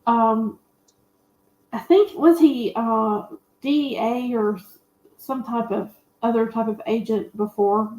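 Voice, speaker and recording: A woman's voice: fairly high pitch, slow pace, a little mellow. A woman panelist giving a candid, opinionated and colloquial film recap in casual chat. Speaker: panelist on a movie discussion show. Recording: roomy, echoey sound.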